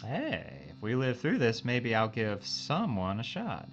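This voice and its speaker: An actor playing a brisk, upbeat crewman in a science fiction audio drama, recorded with a dull sound. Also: medium pitch, huge pitch swings, slight background noise. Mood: businesslike, friendly. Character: brisk, upbeat boy-scout male crewman. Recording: dull band-limited sound.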